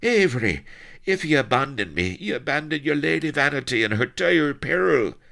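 A man's voice: fairly high pitch, very animated, moderate pace, vocal fry, fairly bright tone, voice pushed up, heavily acted. A man voicing a desperate, blustering roguish colonel who bawls and exclaims in archaic speech in an audiobook. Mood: indignant, urgent.

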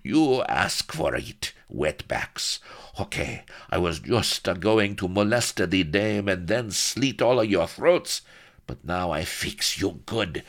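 A man's voice: fairly low pitch, a bit bright, pitched above the performer's own voice, full character voice. A man voicing a sneering, bullying Spanish corporal in broken English with a Spanish accent, for a comic story. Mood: menacing. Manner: broken English, roaring and scornful.

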